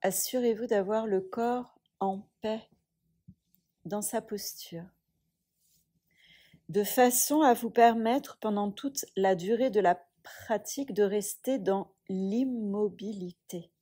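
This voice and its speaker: A woman instructor guiding a yoga relaxation meditation, speaking softly and soothingly in a breathy, hushed voice with long pauses. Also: medium pitch.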